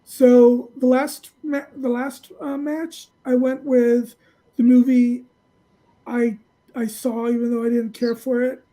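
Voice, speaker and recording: A woman's voice: on the high side, level tone, takes it slowly, dark tone, poor audio. A woman giving casual opinions as a panelist.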